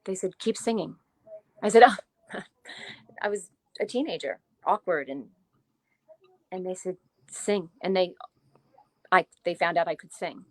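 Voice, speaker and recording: A woman's voice: on the low side, measured pace, long pauses, dry recording. Chatty woman in her forties. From New Jersey, US. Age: 40s. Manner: chatty, animated storyteller, laughs often. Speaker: guest, author. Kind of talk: casual interview storytelling.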